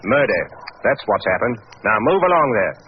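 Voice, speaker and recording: A man plays a working-class Cockney bobby, gruff and plain-spoken yet respectful to his betters. His voice swings widely in pitch, on a dull old radio-play recording with faint noise. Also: sing-song, normal speed, natural voice. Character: gruff but deferential working-class London police constable. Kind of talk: 1940s radio drama acting.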